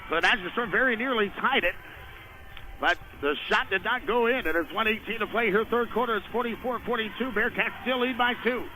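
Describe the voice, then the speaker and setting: A man doing live radio play-by-play of a basketball game in clipped phrases, rising to excited shouts on big plays. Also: sits high, fairly quick. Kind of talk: live radio sports commentary. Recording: noisy radio broadcast from a gym.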